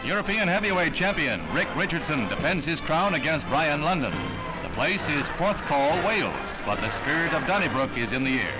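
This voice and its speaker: A man's voice: a little high, sing-song, normal speed, rough recording. A man with an American accent reading scripted 1960s newsreel narration in a punchy, theatrical announcer's style. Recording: vintage newsreel soundtrack with loud music.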